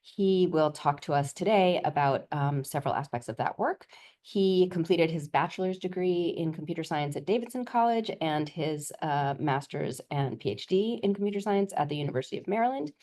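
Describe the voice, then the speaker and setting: A woman hosting a seminar, giving a measured, formal introduction of the guest speaker. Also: low-pitched, animated, dark tone.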